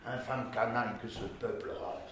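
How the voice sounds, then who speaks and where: A man's voice: mid-range voice, level tone, moderate pace, pitched below the performer's own voice, natural voice. A man acts out an irascible, vain country doctor from a novel. He is indignant, cursing and scolding. The sound is very echoey with faint noise behind. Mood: muttered indignation. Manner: indignant, muttering curses, bursting into shouts. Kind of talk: dramatic reading of a novel.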